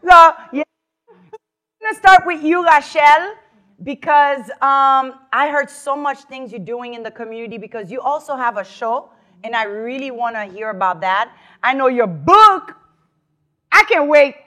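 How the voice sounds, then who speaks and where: A woman's voice: high-pitched, expressive, measured pace, pushed and bright. A woman hosting a talk show, bubbly and chatty, laughing often as she talks to her guest.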